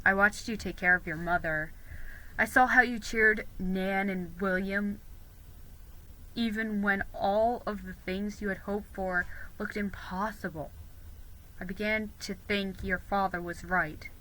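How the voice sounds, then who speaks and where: A woman voicing a kindly, earnest boy in a storybook reading, speaking softly and sincerely. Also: medium pitch, fairly even intonation, measured pace, slight background noise, poor audio. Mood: tender sincerity.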